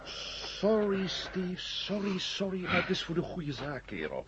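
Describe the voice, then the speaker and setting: An actor in a 1970 radio drama playing a defiant, wisecracking space adventurer, with a raspy voice and a sardonic, blustering manner. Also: male voice, sits high, wildly swooping pitch, unhurried, fairly bright tone, slight background noise, full character voice. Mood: triumphant, brisk. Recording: muffled old radio recording.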